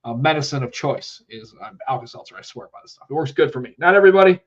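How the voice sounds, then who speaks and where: A man's voice: medium pitch, animated, quick pace. Guy, bit nasal from a cold, rambly live podcast chat, dull muffled audio. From United States. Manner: rambling, chatty, reads out chat comments. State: congested from a cold. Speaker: podcast co-host. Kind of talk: casual live-stream chat.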